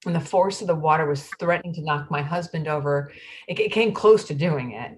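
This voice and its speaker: An actress playing a wife who recalls her husband's near miss in the floodwater, speaking haltingly and repeating words. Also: low voice, natural voice.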